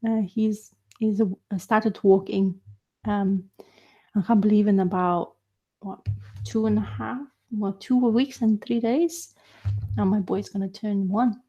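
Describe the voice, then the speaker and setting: A young adult woman whose first language is Lithuanian, chatting casually on a livestream, halting and full of fillers and restarts. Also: medium pitch, dark tone, breathy edge, highs cut off. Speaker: livestream host. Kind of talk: casual live chat about comics.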